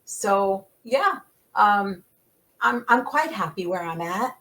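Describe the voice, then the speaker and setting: Animated middle-aged woman guest. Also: medium pitch, slow pace, rough recording. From United States. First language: Italian dialect. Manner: animated, emphatic, laughing. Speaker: podcast guest. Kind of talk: casual conversation.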